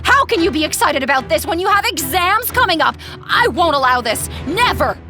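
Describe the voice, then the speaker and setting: A woman voicing an angry, strict, scolding mother in an animated story. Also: extremely high pitch, brisk, over music. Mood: outraged. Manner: indignant, scolding.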